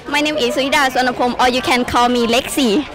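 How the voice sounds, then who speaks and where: A woman's voice: high-pitched, steady tone, quick pace. A young woman, an exchange student introducing herself politely. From Thailand. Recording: noisy crowd outdoors.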